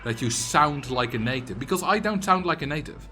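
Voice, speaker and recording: A man's voice: fairly high pitch, animated, a little fast, pushed and bright, soft background music. Dutch-accented man chatting on stream. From Netherlands. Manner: chatty, answers chat messages, rambling asides. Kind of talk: casual livestream chat while playing a game.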